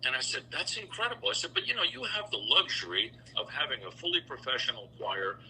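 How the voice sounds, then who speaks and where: A man's voice: mid-range voice, animated, quick pace, sharp tone, slight background noise, rough recording. A middle-aged man telling anecdotes conversationally as an interview guest, voicing the dialogue he recalls. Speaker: interview guest, businessman and choral bass singer.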